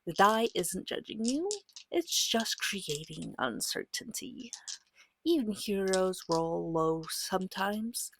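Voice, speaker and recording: A woman speaking softly and breathily, often near a whisper, gently and patiently guiding a beginner as game master in an ASMR roleplay. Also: mid-range voice, very animated, vocal fry, a little hiss or hum, low-quality recording. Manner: gentle, patient, reassuring, unhurried. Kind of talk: soft-spoken ASMR roleplay tutorial.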